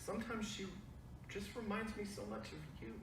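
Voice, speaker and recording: An actor reading a gentle, tentative father trying to smooth things over, in a play reading over a video call. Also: medium pitch, normal speed, echoey room, far from the mic, a little hiss or hum, close to an everyday voice. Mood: placating. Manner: soothing, tentative.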